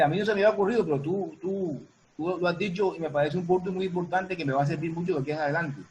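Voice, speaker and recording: A woman's voice: on the low side, a little mellow. A woman talks in a casual panel. She repeats herself for emphasis and checks that others follow, with some room noise behind her. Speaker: dance teacher and theater artist. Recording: noisy room sound with ambience.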